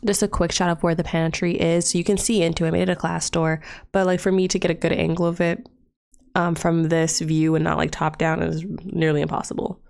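A woman's voice: fairly low pitch, level tone, fairly quick, vocal fry, a little mellow. A young woman with a stuffed-up nose narrating her life-simulation game casually, rambling with lots of fillers into a desktop microphone. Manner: chatty, rambling, with many fillers. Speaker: Let's Play creator. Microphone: desktop USB mic.

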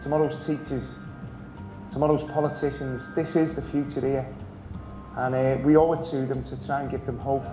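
A man's voice: medium pitch, little pitch movement, normal speed. A man giving a motivational keynote talk as a conference speaker. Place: large conference hall. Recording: roomy hall, music over it.

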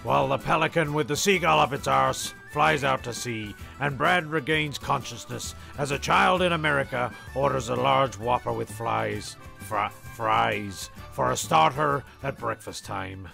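A man's voice: mid-range voice, measured pace, creaky, hardly any pauses, bright, forceful tone, soft background music. A man delivering scripted comic narration in a dry, mock-dramatic, crude way. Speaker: narrator of a comedy sketch series.

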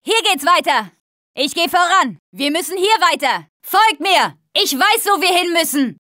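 A high, dry-recorded young female voice for a zombie video game. She is a tough survivor with a blunt, sarcastic edge. Her delivery is urgent, made for short combat barks and quips. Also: big pitch swings, unhurried, pushed and bright, dry recording, full character voice. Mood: encouraging. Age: young adult. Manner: blunt, foul-mouthed, urgent.

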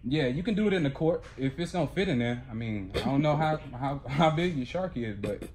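A man's voice: medium pitch, animated, brisk, crowd noise. A young American man making a prank phone call in casual, slangy, mock-earnest talk. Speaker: prank caller. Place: living room, on a couch indoors. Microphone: camera a short distance away. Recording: noisy room, picked up by camera.

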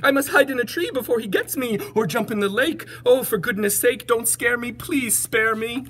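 A woman voicing a big, timid, good-natured storybook elephant, apologetic and excitable, speaking in exclamatory rhyme. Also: medium pitch, normal speed, a little hiss or hum, pitched above the performer's own voice, lightly acted. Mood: terrified. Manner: exclamatory, rhyming.

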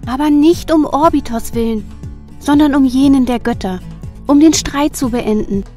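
A woman doing a gentle, cheerful goddess. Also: high-pitched, moderate pace, faint music behind, natural voice. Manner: soft and coaxing. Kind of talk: amateur radio play acting.

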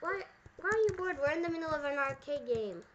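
A woman's voice: high-pitched, lively intonation, quick pace, muffled recording, a crowd in the background. A young girl chatting in roleplay while hosting a gaming video. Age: child. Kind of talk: roleplay chat while playing a game. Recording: loud noise and clicks behind voice.

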